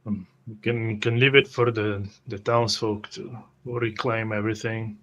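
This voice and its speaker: Even-toned man playing a tabletop role-playing game. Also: lowish voice, unhurried, dull audio. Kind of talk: describing his character's actions in improvised play.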